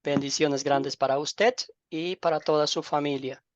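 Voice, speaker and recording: Dull call audio, halting Ecuadorian man. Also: a little high, steady tone, a little hiss or hum. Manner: halting, repeats words, familial and fond of diminutives. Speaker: pastor teaching an online Bible class. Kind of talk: informal welcome and self-introduction to a class. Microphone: online group call microphone.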